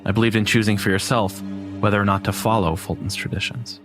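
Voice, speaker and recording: Low-voiced man narrating horror. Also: flat delivery, fast talker, music in the background. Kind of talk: first-person horror story narration.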